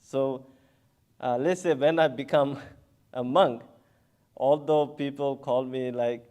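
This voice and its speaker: A Buddhist monk from Bangladesh speaks at a symposium, taking his time and pausing on fillers. His medium-pitched voice is slow and even, and he breaks into soft chuckles as he talks. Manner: unhurried, chuckles often, many hesitations. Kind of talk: symposium talk.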